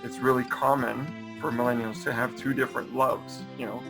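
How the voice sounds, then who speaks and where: Young man with a gravelly voice. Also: mid-range voice, flat delivery, brisk, over music. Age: young adult. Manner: easygoing, uses fillers like "you know". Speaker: interview host. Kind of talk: casual interview talk.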